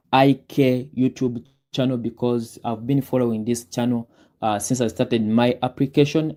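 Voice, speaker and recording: A young man with a Ugandan accent, a guest recruit talking deliberately through his advice with many fillers. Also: mid-range voice, warm tone. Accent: Ugandan English. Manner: deliberate, breaks words down slowly with many fillers. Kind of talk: advice talk on a live Q&A.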